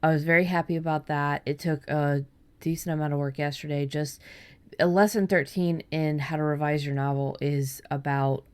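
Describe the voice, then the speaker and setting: A woman hosting a writing podcast in casual chat, talking in quick bursts with many restarts and fillers. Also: deep voice, steady tone, clear, resonant tone. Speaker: podcast host.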